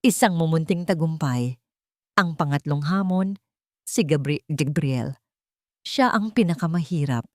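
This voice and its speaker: A Filipino woman tells a scripted dramatic story as narrator. Her pitch sits a little low for a woman. The recording is very dry and close, with no room sound. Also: a little mellow, no echo.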